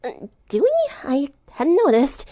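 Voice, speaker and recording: A woman's voice: high-pitched, very animated, unhurried, warm tone, pitched above the performer's own voice. A woman voicing a shy, bookish, awkward teenage girl in a cartoon story, hesitant and earnest. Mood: flustered.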